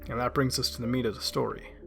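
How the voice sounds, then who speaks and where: A man narrating a first-person horror story in a dramatic yet conversational way, with halting asides. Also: mid-range voice, steady tone, creaky, fairly bright tone. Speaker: creepypasta narrator.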